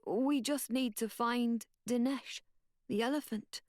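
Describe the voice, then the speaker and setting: An earnest young boy with a raised, lighter voice, as a female narrator plays him, talking slowly and hesitantly and stumbling on small fillers. The reading is dry and close-miked. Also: fairly high pitch, fairly even intonation, a little mellow, no echo.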